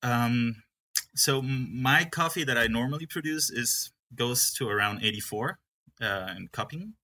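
A man's voice: medium pitch, measured pace, sharp tone. A young man from Peru who manages a coffee farm, talking in a casual interview and often stopping to restart his sentences. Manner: hesitant, with many restarts.

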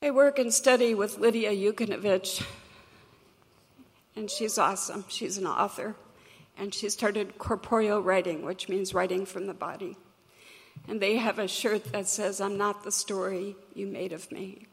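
A woman's voice: medium pitch, fairly even intonation. Crackly-voiced woman telling a personal story. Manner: earnest, reflective, measured. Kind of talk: personal story told to an audience. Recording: long hall reverb.

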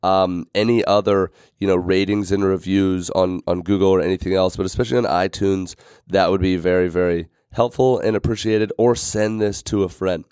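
A man hosting a health podcast in casual, rambling talk, his voice a bit raspy today. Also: low-pitched, steady tone, normal speed, a little mellow, no echo, studio quality. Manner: rambling, many fillers and restarts. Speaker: podcast host.

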